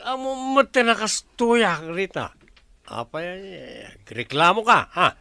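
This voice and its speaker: A man's voice: high voice, lively intonation, takes it slowly, gravelly, sharp tone, dry recording, full character voice. A man playing a lazy, hard-drinking husband in a radio drama, surly, whiny and defiant, with an Ilocano Filipino accent.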